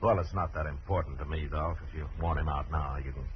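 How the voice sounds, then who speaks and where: An American actor playing a calm, fair-minded, middle-aged frontier marshal in an unhurried, plain-spoken way, in 1950s radio western style. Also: male voice, extremely low pitch. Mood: grave, measured. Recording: muffled, noisy old radio recording.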